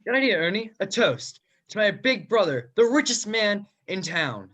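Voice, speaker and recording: Man as proud, fairly high-voiced brother. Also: very animated, moderate pace, heavy background noise. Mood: hearty. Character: Harry, proud hearty younger brother. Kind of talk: 1940s-style radio play acting. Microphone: video-call microphone.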